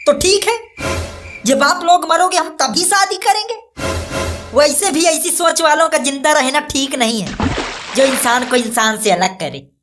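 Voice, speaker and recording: High-pitched, defiant young bridegroom voiced by a woman. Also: expressive, a little slow, music in the background. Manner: firm, emphatic, cutting retorts. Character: defiant principled young man, an educated village bridegroom. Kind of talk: comic cartoon dialogue.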